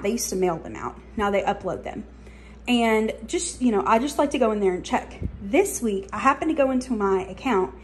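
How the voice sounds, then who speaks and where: A woman's voice: a little high, slight background noise. A young adult woman, chatting casually through a grocery haul in her home kitchen, with lots of fillers. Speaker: cooking channel host.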